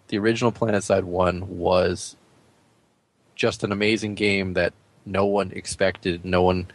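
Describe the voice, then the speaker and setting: A man with a fairly low voice co-hosts a gaming podcast. He talks casually and bluntly, recorded dry and close. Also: no room sound. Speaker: podcast co-host.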